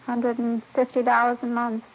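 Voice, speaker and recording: An actress playing a matronly, middle-aged widowed neighbor in a 1950s radio drama, rambling in a folksy, chatty way. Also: female voice, fairly high pitch, monotone, normal speed. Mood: wistful, pitying. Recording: dull old radio recording with hiss.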